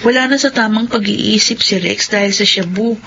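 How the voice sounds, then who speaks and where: A woman's voice: medium pitch, moderate pace, gravelly, fairly bright tone. A woman playing a polite, sympathetic young friend in a radio drama. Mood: sympathetic, anxious. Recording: dull radio audio, faint noise.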